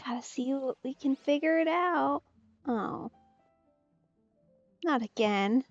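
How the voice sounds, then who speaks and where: A woman's voice: sits high, lively intonation, slow pace, dull audio, soft background music. A woman giving casual let's play commentary as she streams a game.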